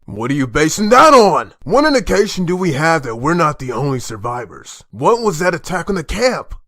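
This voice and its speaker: A man's voice: a little high, very animated, moderate pace, bright, forceful tone, no echo, clean studio sound, full character voice. A man voicing a stern, desperate father who leads a group of survivors in a comic dub. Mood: urgent, angry.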